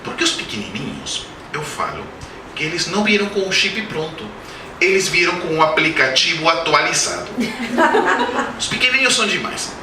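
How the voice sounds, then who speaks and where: Spanish-accented male lecturer, forties, higher-pitched, anecdotal and jokey; roomy, noisy hall recording from a distance. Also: big pitch swings, moderate pace. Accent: Spanish-speaking accent in Portuguese. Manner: jokey anecdotes, asks the audience questions. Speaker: guest speaker at a spiritist center. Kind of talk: humorous spiritist lecture. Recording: roomy hall, distant mic, background noise.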